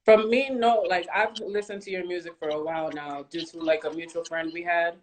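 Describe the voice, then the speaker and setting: A woman's voice: lowish voice, fairly even intonation, a little fast. A woman interview host chatting casually and asking questions on a livestream. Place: remote livestream interview, in quarantine. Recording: noisy livestream audio with clicks.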